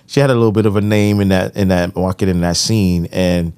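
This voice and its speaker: Low-voiced new man telling stories. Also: fairly even intonation, fairly quick, darkish tone, clean tone, no echo. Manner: laid-back storytelling, frequent "you know what I'm saying". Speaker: podcast host and producer. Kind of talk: casual podcast conversation.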